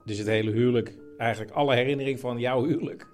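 A man's voice: medium pitch, fairly even intonation, quick pace, darkish tone, soft background music. A middle-aged man conducting a conversational interview, probing and rephrasing his questions as he goes. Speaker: interviewer, the couple's son.

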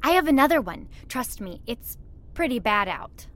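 A woman's voice: fairly high pitch, sing-song, moderate pace, fairly bright tone. A woman playing a perky, naive female chatbot on a spaceship in an audio comedy, eager and rambling. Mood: chatty, upbeat. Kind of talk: audio comedy drama acting.

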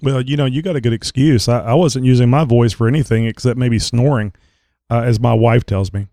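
A man's voice: mid-range voice, steady tone, a little fast, mellow, no echo. A man hosting a casual chat show, rambling with lots of filler words, his voice a little crackly as he recovers from a cold. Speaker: podcast host.

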